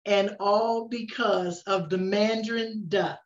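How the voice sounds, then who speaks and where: An older woman reads a picture book aloud at an unhurried pace, leaning into key words with expressive emphasis. Her voice sits at an ordinary pitch for a woman. The recording is a little dull and lo-fi. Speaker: story reader. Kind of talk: picture-book read-aloud with casual asides.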